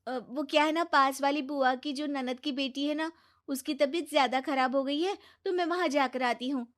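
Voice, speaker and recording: Lady doing an eager-to-please young bahu for a cartoon, very high voice, gushy and dramatic, kinda clueless. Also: steady tone, moderate pace, natural voice. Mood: hurried, chatty. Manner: gushing, exclaiming, theatrical. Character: eager-to-please young daughter-in-law, bubbly, oblivious, boastful. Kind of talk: Hindi moral-story cartoon acting.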